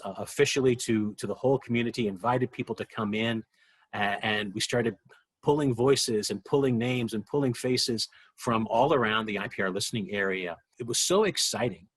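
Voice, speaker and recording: A man recalling his work as a producer in an interview, earnest and halting as he searches for words. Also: fairly low pitch, fairly bright tone, husky, no echo. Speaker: interviewee, radio drama executive producer. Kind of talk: reflective interview recollection.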